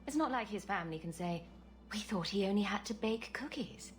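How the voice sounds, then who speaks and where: A young female rogue from a fantasy video game, played by an actress. Her delivery is wry and teasing, with animated, swooping intonation and music playing underneath. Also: medium pitch, brisk, natural voice. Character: sarcastic, playful young female rogue adventurer.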